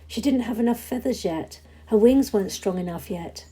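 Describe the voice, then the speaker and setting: Woman, story reader, mellow dark tone, breathy, dramatic measured phrasing, expressive narration. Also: medium pitch. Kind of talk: expressive audiobook-style narration.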